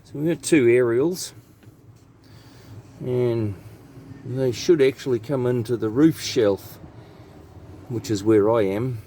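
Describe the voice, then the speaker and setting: A man's voice: mid-range voice, slow pace, pauses often. Man doing repair commentary over background noise. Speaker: truck radio technician. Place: inside a truck cab and on its roof. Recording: steady background noise, vehicle ambience.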